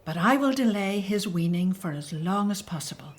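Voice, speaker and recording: A woman's voice: medium pitch, lively intonation, normal speed, close to an everyday voice. Tender, faithful ancient Hebrew mum played by a woman, emotional, medium register, Bible audio drama. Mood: sad, resolute. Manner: gentle, emotional, breathy sighs. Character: tender, faithful Hebrew mother, fearful for baby son. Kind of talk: biblical radio drama acting.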